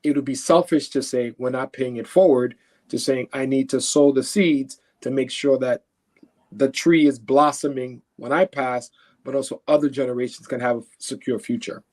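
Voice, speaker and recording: An earnest man from Haiti answers interview questions at a mid pitch. He talks conversationally, restarts and repeats words while he thinks, and keeps checking in with the listener. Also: fairly even intonation, normal speed, darkish tone. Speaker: guest.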